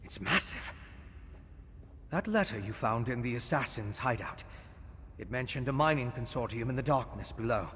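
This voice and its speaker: A man performs a learned, careful gentleman adventurer for a fantasy video game. His mid-pitched speech is polite, thoughtful and articulate. It carries a long echoing tail over faint ambient noise. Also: expressive, normal speed, close to an everyday voice. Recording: long cavernous reverb, faint background noise.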